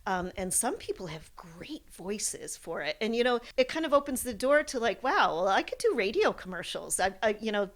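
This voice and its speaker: A woman explaining her field in an interview, in the manner of a college professor, with animated examples. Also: mid-range voice, moderate pace, few pauses.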